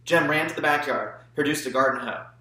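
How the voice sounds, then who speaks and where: A man's voice: fairly high pitch, fairly quick, sharp tone, roomy sound, low-quality recording. A man reading a literary audiobook as narrator, in scripted storytelling style. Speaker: audiobook reader.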